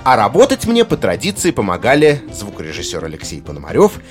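A man's voice: fairly low pitch, huge pitch swings, fast, faint music behind. A man hosting a podcast, reading a scripted essay in an articulate, emphatic way. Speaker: podcast host.

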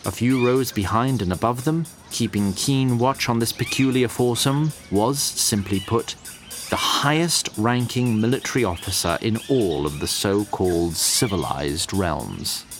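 An actor playing a haughty, wry otherworldly narrator of a fantasy audio drama, with ornate, deliberate, condescending phrasing. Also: male voice, fairly low pitch. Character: haughty, wry otherworldly storyteller addressing lesser mortal listeners. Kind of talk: theatrical scripted fantasy narration. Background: music under the voice.

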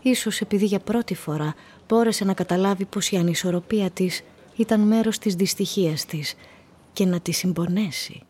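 An actress as the composed narrator of a radio play, reading literary prose. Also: female voice, medium pitch, a little mellow, natural voice. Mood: reflective.

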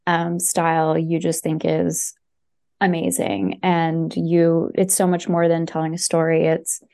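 A woman's voice: low voice, monotone, measured pace, darkish tone. A woman chatting casually and enthusiastically on a podcast, with many fillers and restarts. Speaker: podcast guest recommending books. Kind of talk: casual chat.

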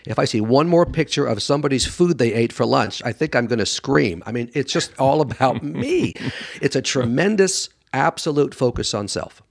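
A man's voice: mid-range voice, lively intonation, moderate pace, creaky. A man speaking as a guest expert in an interview, answering conversationally in a blunt, emphatic way and acting out what others say. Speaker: guest expert doctor and author on a radio show.

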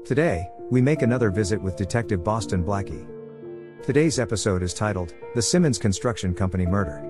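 A man's voice: lowish voice, animated, brisk. A man hosting a podcast, reading a scripted introduction to an episode. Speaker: podcast host. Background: music.

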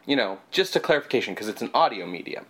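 A young man from Canada co-hosting a podcast, his voice a bit stuffed up and nasal. He talks casually, with a lively pitch that rises and falls a lot. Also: breathy edge. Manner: chatty, animated delivery with quick asides. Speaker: podcast co-host.

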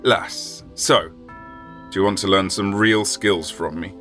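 A man's voice: sits low, fairly even intonation, slow pace, pushed and bright, soft background music, exaggerated voice. A man voicing a grumpy, haughty old swordsman who talks down to everyone, in a storybook reading. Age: older. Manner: haughty, drawling, dismissive.

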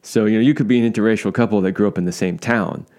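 A man with a fairly low voice co-hosts a chat podcast. He talks with eager enthusiasm and loose, rambling phrasing, circling back to restart his thoughts. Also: very fast, a little mellow. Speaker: podcast co-host. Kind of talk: casual podcast interviewing.

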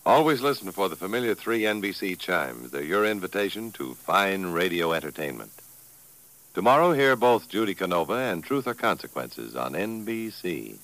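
A man's voice: sits low, sing-song, moderate pace, fairly bright tone, no room sound, rough recording. An American man reading a scripted network announcement in 1950s radio style.

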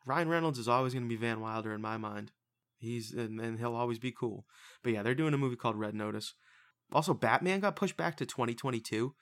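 A man's voice: mid-range voice, a little fast. A man in his twenties hosting a casual podcast, loose and profane, rambling through movie news. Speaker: podcast host.

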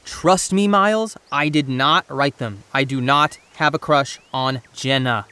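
A man's voice: mid-range voice, a little slow, pushed and bright, clear, resonant tone. A man playing a guarded, defensive but sensitive teenage boy in a naturalistic audio drama, with hesitant delivery. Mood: evasive, nervous.

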